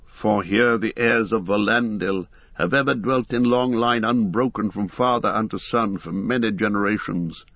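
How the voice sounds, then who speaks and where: A man reading the part of a grave, weary heir of kings, speaking calmly and earnestly. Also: on the low side, normal speed, hardly any pauses, dry recording, dull audio. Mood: dignified. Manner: calm, dignified, earnest.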